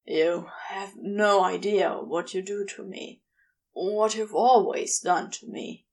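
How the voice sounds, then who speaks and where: A woman's voice: medium pitch, steady tone, takes it slowly, a little mellow. A woman reading the part of an adoring, commanding male lover in prose fiction, earnest and intimate. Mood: honest, adoring.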